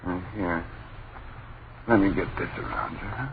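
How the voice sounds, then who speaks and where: A man's voice: low voice, moderate pace, lightly acted. An actor playing a protective, anxious father in an old-time radio horror drama, on a muffled, noisy recording. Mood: soothing. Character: protective, anxious young father sheltering his family.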